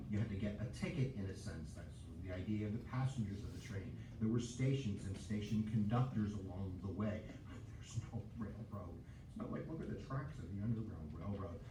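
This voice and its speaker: A man's voice: on the low side, brisk. A man explaining history in a casual, upbeat lecture, with joking asides to viewers. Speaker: history video narrator. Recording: roomy, distant, faint noise.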